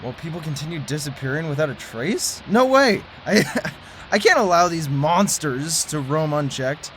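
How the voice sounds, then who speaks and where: A man voices a worn-out conspiracy theorist who hasn't slept in days. He stumbles over his words and laughs nervously, yet holds firm to his principles, with a steady background hiss. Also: on the high side, normal speed. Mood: determined. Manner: jittery, stammering, nervous laughs. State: exhausted from lack of sleep. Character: sleepless male conspiracy theorist, stubborn, principled. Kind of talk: audio drama acting.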